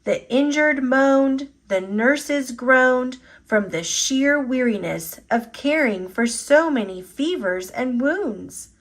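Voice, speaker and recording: A woman reading a children's picture book aloud as a storyteller, with an expressive, deliberate delivery. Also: high-pitched. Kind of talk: scripted narration, children's read-aloud.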